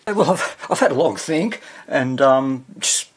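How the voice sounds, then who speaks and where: A man's voice: medium pitch, expressive, measured pace, slightly rough. A man doing informal hands-on repair commentary as a vintage radio restorer, hesitant, self-deprecating and thinking aloud as he works. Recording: noisy workshop recording with clicks.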